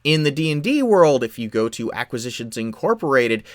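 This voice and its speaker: Animated man explaining a software tutorial. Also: mid-range voice, a little fast, dry recording, clean studio sound. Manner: clear step-by-step explaining. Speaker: tutorial presenter. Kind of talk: software tutorial, explanatory screen-share narration.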